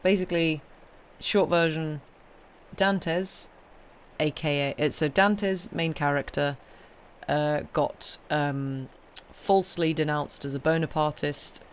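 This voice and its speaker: A woman's voice: sits low, steady tone, takes it slowly, slight background noise. A woman chatting casually to live stream viewers as host, informal and hesitant as she thinks aloud.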